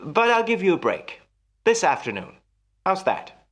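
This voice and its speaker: A man's voice: sits high, wildly swooping pitch, a little slow, fairly bright tone, exaggerated voice. An actor in a radio play as a jealous husband, musing theatrically and teasing his wife with menace. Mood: playful menace.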